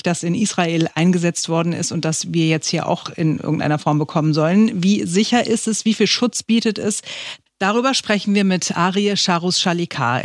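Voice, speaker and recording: A man's voice: high voice, no room sound, studio quality. A man presenting a scripted introduction to an interview.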